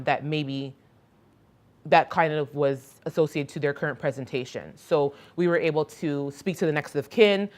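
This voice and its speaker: A woman giving a conference presentation about a crisis outreach program, speaking hesitantly with frequent ums and restarts. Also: deep voice. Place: hospital conference room.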